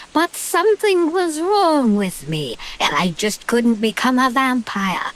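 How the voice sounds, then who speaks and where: A woman's voice: a little high, low-quality recording. A British woman playing a haughty, power-hungry witch in a horror-comedy radio play, dramatic and sardonic, with a British accent. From Britain. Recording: hissy track with rain noise. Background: rain and hiss.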